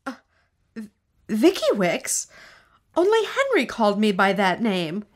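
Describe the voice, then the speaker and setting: A woman's voice: medium pitch, huge pitch swings, a little slow. A woman playing a frightened, grieving widow at a seance, anxious and dramatic, in old-time radio drama style.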